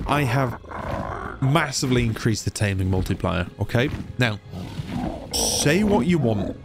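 A young man with a cold and a slightly nasal, blocked-nose voice, chatting excitably through a game playthrough. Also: medium pitch. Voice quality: a bit nasal. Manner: chatty, excitable, rambling asides. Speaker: gaming YouTuber. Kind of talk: casual let's-play commentary.

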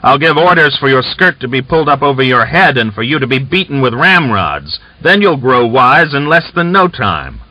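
A man's voice: mid-range voice, sing-song, moderate pace, hardly any pauses, sharp tone, dry recording, pitched above the performer's own voice, rough recording. A man voicing an arrogant male rebel commander who roars blustering threats. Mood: bullying.

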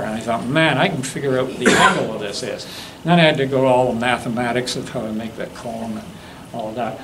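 A man in his seventies giving an informal demonstration talk, halting and anecdotal as he rambles along. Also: mid-range voice. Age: 70s. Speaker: guest demonstrator and hobbyist. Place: classroom lecture room. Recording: faint room noise.

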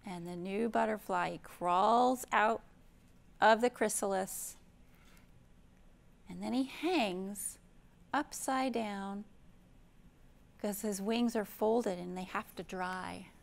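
Middle-aged American woman, children's storytime reader: slow pace, creaky edge, expressive and simple delivery. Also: medium pitch. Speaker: children's librarian, storytime host. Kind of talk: children's storytime read-aloud and show-and-tell. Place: indoor library room.

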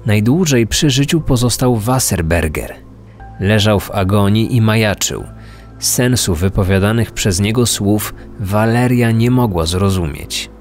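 A man's voice: lowish voice, measured pace, a little mellow. A man narrating a scripted true-crime podcast. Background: faint music.

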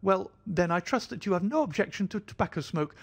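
A man voicing a jittery, fussy little man of about thirty, an anxious hypochondriac with a thin, high voice. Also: expressive, brisk, vocal fry, slightly rough, heavily acted. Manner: fidgety, effusive, fretful.

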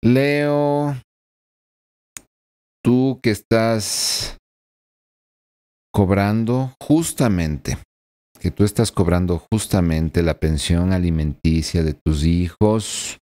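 A man in his forties reads tarot into a desk microphone in a small room at home. His voice sits low and sounds soft and mellow. He speaks earnestly and keeps checking in with the listener. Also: drawn-out words, long pauses. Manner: earnest, addresses the listener directly with frequent tag questions. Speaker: tarot reader. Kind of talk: weekly horoscope tarot reading. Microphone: desk microphone in front of him.

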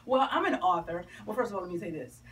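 A woman's voice: fairly low pitch, lively intonation, fast talker, fairly bright tone. A woman with a Southern US accent speaking animatedly and colloquially as a guest in a radio interview. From South Carolina, USA. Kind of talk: radio interview, promotional chat. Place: small radio studio, indoors. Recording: distant mic, clear background room noise.

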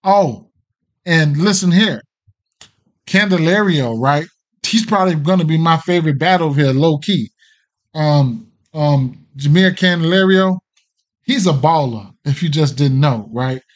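Middle-aged american guy, kinda higher voice, rambling sports betting stream, cusses a lot, super casual. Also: unhurried. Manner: slangy, profane, hesitant and rambling. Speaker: sports betting show host. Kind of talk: casual off-the-cuff livestream betting picks.

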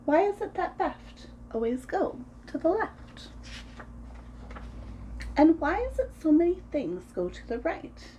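A woman in her thirties reads to small children in a high, sing-song voice. Her pitch swoops up and down expressively, and her tone stays soft-edged and mellow. She sounds like the host of a preschool story time. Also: sing-song, unhurried, slight background noise. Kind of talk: picture-book read-aloud for preschoolers. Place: small room at home, indoors.

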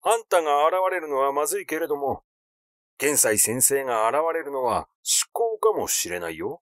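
A man voicing a rash, glib, excitable schemer in an audiobook, talking in a blunt, teasing, effusive way. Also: medium pitch, normal speed, no echo, studio quality. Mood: scornful, blunt. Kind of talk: literary audiobook character dialogue.

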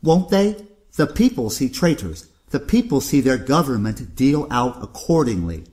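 A man's voice: mid-range voice, big pitch swings, moderate pace, a little mellow, lightly acted. A man playing a cold, condescending older uncle, a ruthless government loyalist, in an audio drama. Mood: smug, cold. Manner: condescending, declamatory.

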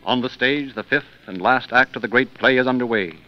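A male narrator with a medium-pitched voice delivers portentous 1930s radio narration. Music plays clearly behind him, and the old recording is muffled. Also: fairly quick. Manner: dramatic, portentous. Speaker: narrator, host.

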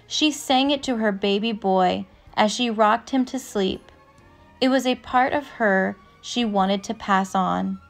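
Slow, measured woman reading a storybook. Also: mid-range voice, gravelly, faint music behind. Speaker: narrator reading a picture book aloud. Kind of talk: storybook read-aloud, scripted narration.

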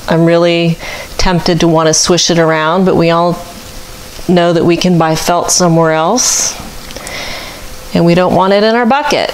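A woman giving a casual hands-on tutorial, thinking aloud in an unhurried way as she works. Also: lowish voice, fairly even intonation, poor audio. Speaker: craft vlogger demonstrating fleece washing. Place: home kitchen. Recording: clear background noise, household ambience.

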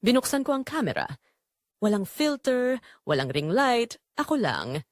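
A woman's voice: fairly high pitch, sing-song, a little slow, no echo, clean studio sound. A woman reading dramatic first-person scripted narration as the narrator of an audio drama.

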